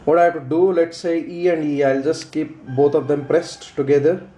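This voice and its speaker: A man's voice: on the high side, steady tone, moderate pace, dark tone. A man giving a casual unboxing and how-to demonstration, explaining step by step in a hesitant, repetitive way. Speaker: product reviewer. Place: indoors, small room at a tabletop. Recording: roomy echo, faint background noise.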